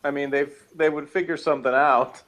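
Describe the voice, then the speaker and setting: A man's voice: on the high side, steady tone, brisk, a bit bright. A man in a casual review chat, rambling and hedging as he argues his points as a co-host. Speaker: podcast co-host reviewing TV episodes.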